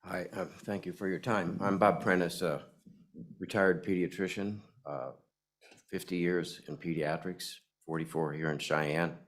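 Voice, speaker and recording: An older man, a retired pediatrician with a gravelly voice and a cold, giving earnest public testimony to a committee. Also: sits low, steady tone, unhurried. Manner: earnest, hesitant with fillers. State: has a cold. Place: legislative committee hearing room.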